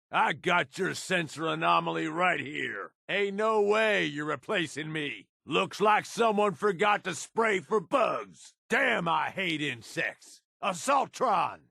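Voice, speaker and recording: A gravelly, crude raider lieutenant. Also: male voice, a little high, pushed and bright, dry recording, studio quality, full character voice. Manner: gravelly, crude and sardonic, tough-guy drawl. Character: gruff male raider lieutenant, scheming and crude. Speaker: companion character. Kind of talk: video game combat and companion barks.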